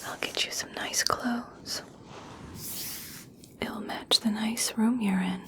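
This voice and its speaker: Slow whispering elf princess, played by a woman. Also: on the high side, fairly even intonation. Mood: soothing, inviting. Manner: soft, slow, soothing, formal. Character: regal elf princess, gracious, coaxing, subtly conditional kindness. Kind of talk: whispered ASMR personal attention roleplay.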